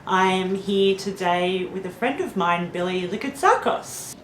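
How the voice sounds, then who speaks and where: A woman's voice: medium pitch, unhurried, fairly bright tone. Chatty woman host, echoey room. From Netherlands heritage via father, raised abroad. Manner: friendly, chatty, laughs easily. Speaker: podcast host. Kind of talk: casual interview conversation. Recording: echoey room with faint noise.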